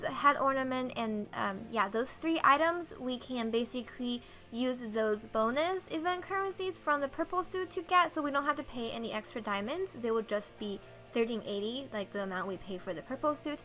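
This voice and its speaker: Female game guide host: high, bright voice, casual explainer delivery.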